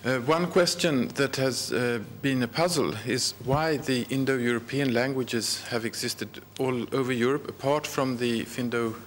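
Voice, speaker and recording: A man's voice: mid-range voice, fairly even intonation, unhurried, few pauses, a little hiss or hum. A middle-aged man speaking on a discussion panel in a large stone hall, hesitant, with fillers. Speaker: panel guest.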